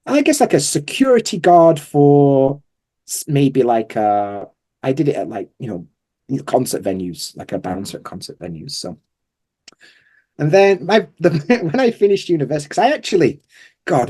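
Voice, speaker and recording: A man's voice: a little high, very animated, normal speed, dark tone, breathy edge. A man with a Yorkshire accent, chatting informally and self-deprecatingly as a guest in a casual interview. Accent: Yorkshire English. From Yorkshire, England. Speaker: guest, English teacher.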